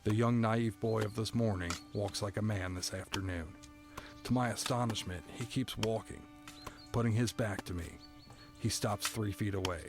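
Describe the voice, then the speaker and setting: A man playing a stoic, resigned prisoner who narrates an audio drama in a somber, deliberate, poetic storytelling manner. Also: lowish voice, fairly even intonation, over music, close to an everyday voice. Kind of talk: scripted first-person dramatic narration.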